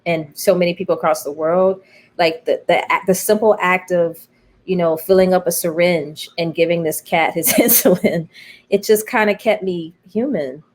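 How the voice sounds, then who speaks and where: Reflective American woman, slightly low voice. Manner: thoughtful, emphatic, pausing and repeating phrases. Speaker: interview guest, playwright. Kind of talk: reflective personal conversation.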